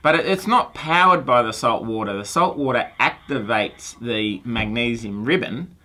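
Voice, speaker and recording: A man's voice: medium pitch, animated, moderate pace, sharp tone. A science teacher in his forties on a phone call, friendly and earnest, often restarting his sentences. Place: small room with shelves of toys and lab gear. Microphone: phone handset held to ear, room mic.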